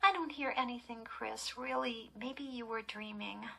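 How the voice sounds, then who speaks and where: Woman as a teasing, high-voiced prankster girl. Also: a little slow, gravelly, bright, forceful tone, full character voice. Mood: sleepy, dismissive. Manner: casual, offhand, taunting. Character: teasing, mischievous young girl, the prankster twin.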